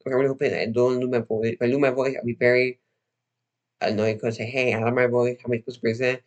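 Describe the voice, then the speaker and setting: A young man hosting a casual livestream, slightly hoarse and losing his voice, talking in a rambling, halting way full of restarts and fillers. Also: medium pitch, flat delivery, highs cut off. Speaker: livestream host.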